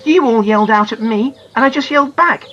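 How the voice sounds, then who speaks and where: A woman voicing an excitable young schoolgirl, high and pleading, in a slightly dull recording. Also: a little fast, slight background noise, close to an everyday voice. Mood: eager excited.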